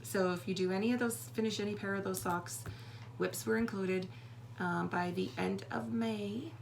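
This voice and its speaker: A woman with a hoarse, scratchy voice and a gravelly, husky texture. She chats casually as a podcast co-host and rambles, with plenty of fillers. Her pitch sits in the middle for a woman, and a faint noise lies under the recording. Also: fairly even intonation, normal speed. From Canada. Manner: chatty and rambling, with many fillers. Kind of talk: casual chat.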